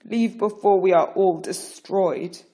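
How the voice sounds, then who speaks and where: A woman's voice: mid-range voice, slow pace, dark tone, pitched above the performer's own voice, close to an everyday voice. A woman reading a story voices a broken, desperate male king who cries out and begs frantically. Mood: desperate begging. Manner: weak, then frantic crying out.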